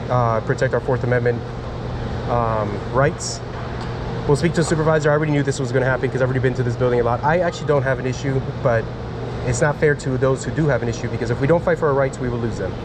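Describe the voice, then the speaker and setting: A man from Miami talks into his handheld phone in a rambling, halting way, restarting sentences and filling pauses. His pitch sits in the middle range. Street and lobby noise runs clearly behind him. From Miami, USA. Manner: chatty, halting, many fillers and restarts. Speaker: vlogger filming a first amendment audit. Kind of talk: casual self-filmed vlog, talking to camera and officials. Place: outdoors then in a public building lobby. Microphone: handheld phone camera.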